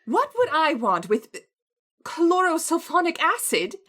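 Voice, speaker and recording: High-voiced, exasperated lab scientist. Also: female voice, wildly swooping pitch, a bit bright, exaggerated voice. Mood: puzzled, irritated. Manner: indignant, brisk, questioning. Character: stern, exasperated female laboratory scientist.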